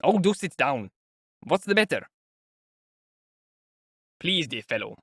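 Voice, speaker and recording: A man's voice: mid-range voice, fairly quick, a bit bright, dry recording, clean studio sound, close to an everyday voice. Man playing a fussy, sly, jovial investigator. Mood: fussing, effusive. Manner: clucking, fussing, ingratiating. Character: fussy, slyly jovial male investigator, effusive and nervy. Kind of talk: audiobook character acting.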